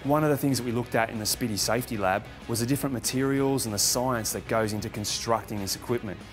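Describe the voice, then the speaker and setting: A man's voice: medium pitch, fast talker. A man presenting to camera, enthusiastic and conversational. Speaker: motorcycle magazine presenter. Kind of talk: talking to camera. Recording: music playing under the voice.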